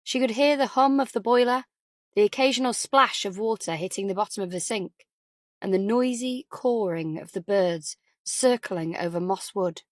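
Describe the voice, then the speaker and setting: A woman's voice: medium pitch, normal speed, dry recording, studio quality. A woman reading scripted fiction as an audiobook narrator.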